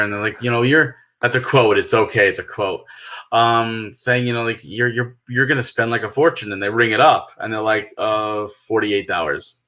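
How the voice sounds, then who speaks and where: A man's voice: on the low side, sharp tone, dull audio. A man with an American accent talking casually and persuasively about things as a podcast host, emphatic and explanatory. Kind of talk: casual gaming podcast discussion.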